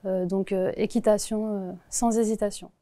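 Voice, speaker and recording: A woman in her forties from the Paris region answers interview questions. She hesitates often and fills the pauses. Her voice is mellow and warm, recorded close and dry. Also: mid-range voice, steady tone, no room sound. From Paris region, France. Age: 40s. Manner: hesitant, many filler pauses. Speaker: postal worker, interview guest.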